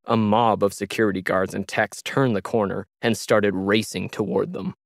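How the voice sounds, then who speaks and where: A man's voice: on the low side, steady tone, moderate pace, creaky, dry recording, studio quality. A man reading a scripted science fiction story as its narrator.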